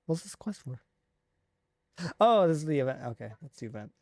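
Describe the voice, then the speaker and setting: Gravelly-voiced man casually streaming a game. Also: a little high, sing-song, darkish tone, no room sound, clean studio sound. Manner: rambling, halting, thinking aloud. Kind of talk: casual live stream commentary on a video game.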